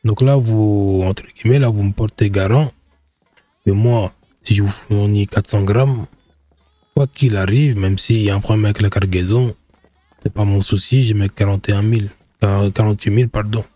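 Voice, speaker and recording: Man playing a cautious haggling buyer: low, mellow, unhurried bargainer, dry close mic. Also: fairly even intonation, no echo, lightly acted. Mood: wary haggling. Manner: deliberate, bargaining, casual street French. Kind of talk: roleplay in character.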